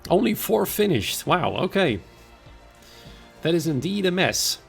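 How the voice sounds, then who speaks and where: A man commentating a sim racing league stream in a chatty way, his voice hoarse and raspy after an illness. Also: on the high side, sing-song, measured pace, faint music behind. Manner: chatty, conversational, greets viewers by name. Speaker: race commentator and league host.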